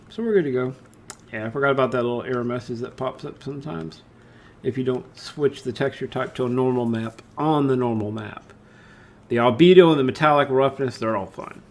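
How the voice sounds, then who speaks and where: A man giving an informal screen-share software tutorial, talking through his steps and thinking aloud as he works. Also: medium pitch, a little hiss or hum. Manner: casual, thinking aloud, addresses viewers as guys. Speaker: tutorial host.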